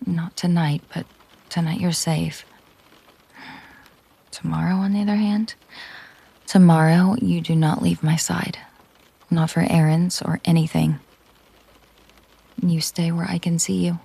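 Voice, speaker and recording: Slow, husky woman acting a mafia boss girlfriend. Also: lowish voice, flat delivery, full character voice. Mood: protective, commanding. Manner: slow, deliberate, hushed, often breathy near-whisper. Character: possessive, menacing mafia boss girlfriend, controlled and protective. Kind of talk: intimate audio roleplay monologue.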